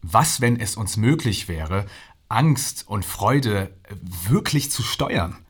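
An actor playing an earnest visionary scientist who explains his research deliberately, with rhetorical questions and emphatic pauses, in audio drama style. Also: lowish voice, sing-song, husky, full character voice. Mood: persuasive, visionary. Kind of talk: audio drama, staged interview.

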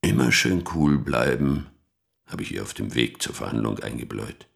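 An actor playing a smug, condescending lawyer with a gravelly voice in a dramatic audio play monologue. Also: male voice, rumbling low, expressive, normal speed, exaggerated voice. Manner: condescending, self-satisfied. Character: smug, vain male lawyer with a convertible.

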